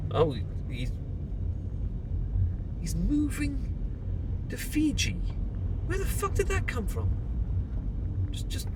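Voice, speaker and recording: A man with a British accent playing an awkward, rambling young man leaving a bad relationship in an intimate audio roleplay, hesitant and often interrupting himself. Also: on the high side. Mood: puzzled, incredulous. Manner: hesitant, rambling, self-interrupting, chuckling nervously. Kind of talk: intimate boyfriend roleplay audio acting, conversational. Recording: clearly noisy, car and outdoor ambience behind.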